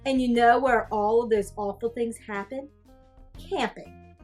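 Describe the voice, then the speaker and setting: A woman reading a storybook aloud as a sassy, easily grossed-out young female cartoon character, with exaggerated, drawn-out disdain. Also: fairly high pitch, fairly even intonation, darkish tone, soft background music, natural voice. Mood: disdainful, complaining. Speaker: teacher reading a picture book aloud. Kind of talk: animated children's storybook read-aloud.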